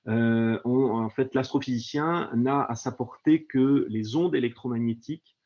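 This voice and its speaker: A man gives an online presentation on a university department, with a mellow, dark voice. He hesitates a lot, using fillers and restarting words. The sound is dull and lo-fi, typical of a video call. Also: medium pitch, measured pace. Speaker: astronomy lecturer presenting his department. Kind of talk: online info-session presentation.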